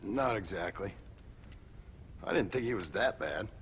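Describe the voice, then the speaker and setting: A man's voice: fairly low pitch, expressive, unhurried, fairly bright tone, slight background noise. A man playing a weary, wry frontier gunslinger in a video game, with a dry, sardonic way of talking. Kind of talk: video game cutscene acting.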